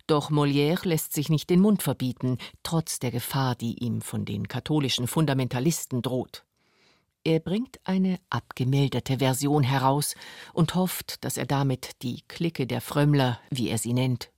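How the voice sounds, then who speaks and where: A man's voice: medium pitch, creaky, darkish tone, no room sound. Dry studio male documentary narrator.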